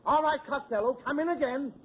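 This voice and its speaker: An actor playing a fussy, exasperated store manager in a 1940s radio comedy. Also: male voice, very high voice, fairly quick, phone-quality sound. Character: fussy middle-aged male store manager. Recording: scratchy 1940s radio broadcast.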